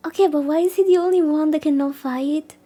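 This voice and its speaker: A woman's voice: sits high, a little fast, warm tone. A woman reading a visual novel aloud on a live stream and dropping in casual asides, sometimes stumbling over names. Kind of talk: live read-aloud of game dialogue with casual commentary.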